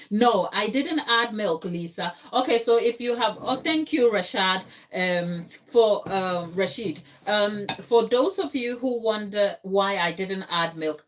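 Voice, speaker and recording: A woman's voice: mid-range voice, slow, fairly bright tone. A woman from Ghana hosting a live cake tutorial from her kitchen, explaining steps and answering viewers in the chat. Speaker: baking channel host. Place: home kitchen.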